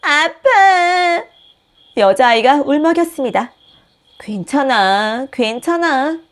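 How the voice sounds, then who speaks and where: A woman reads a children's picture book aloud with gentle, expressive storytelling. Her voice sits somewhat high and moves in a lively way through each sentence. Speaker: storybook reader, channel host.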